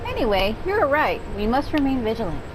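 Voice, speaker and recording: A woman's voice: a little high, sing-song, a little fast, rough recording. Wry woman gamer over music. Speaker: gamer reading player dialogue aloud. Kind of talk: gameplay commentary and dialogue reading. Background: game music.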